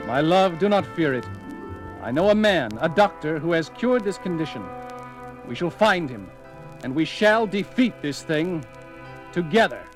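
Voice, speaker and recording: A man's voice: on the high side, lively intonation, a little slow, faint music behind, poor audio. An actor playing an anguished, earnest male scientist in a melodramatic 1970s horror audio drama. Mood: tender, resolute. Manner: melodramatic, exclaiming.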